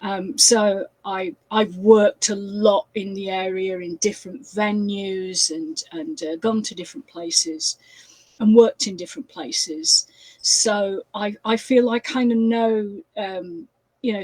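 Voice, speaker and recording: Woman, 60s, Yorkshire accent, husky and close-miked dry, chatty author on a video call. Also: medium pitch, takes it slowly, darkish tone, no echo, low-quality recording. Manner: chatty, with many fillers and restarts. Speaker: crime author. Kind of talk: casual interview chat.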